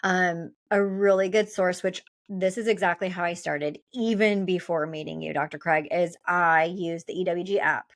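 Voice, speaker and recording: A woman's voice: lowish voice, fairly even intonation, moderate pace, hardly any pauses. A woman co-hosting a health show as a nurse, giving advice in an informal, conversational way. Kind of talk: casual health advice chat.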